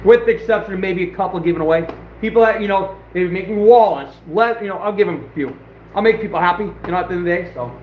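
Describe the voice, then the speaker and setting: A hoarse, fairly high-voiced man hosts a rambling outdoor livestream, laughing often and getting worked up. He sounds distant from the phone, and the audio is heavily echoey and dull. A faint hiss sits underneath. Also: fast. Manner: rambling, laughing, blunt and excitable. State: hoarse, losing his voice. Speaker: trapper and channel host. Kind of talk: casual livestream chat.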